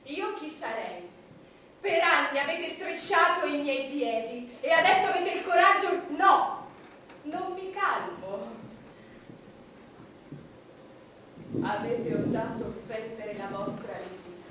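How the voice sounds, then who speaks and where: A woman's voice: high-pitched, expressive, a little slow, a little hiss or hum, heavily acted. An actress playing an ageing, proud, paranoid and imperious queen in a theatrical stage monologue, with dramatic declamation. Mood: imperious, enraged. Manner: dramatic declamation, swinging from hushed brooding to loud outbursts. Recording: echoey stage, distant mic, loud music behind.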